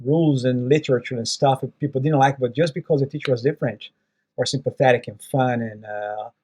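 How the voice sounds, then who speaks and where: A man's voice: medium pitch, fairly even intonation, brisk, darkish tone, a little hiss or hum. Portuguese-speaking male podcast host. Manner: brief, friendly prompts and anecdotes. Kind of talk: conversational podcast interview.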